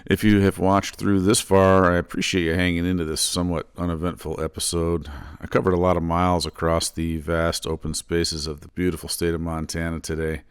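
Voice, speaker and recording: A man recording a travel vlog voiceover, telling the day's ride in a plain, relaxed way while his voice is a little scratchy. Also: low-pitched, brisk, hardly any pauses, smooth, no room sound, clean studio sound. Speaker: motorcycle travel vlogger. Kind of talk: voiceover narration.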